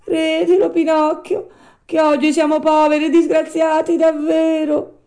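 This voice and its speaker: A woman voicing a wretched, sly old begging fox in a storybook, whining and pleading in drawn-out phrases. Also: sits high, little pitch movement, warm tone, pitched above the performer's own voice.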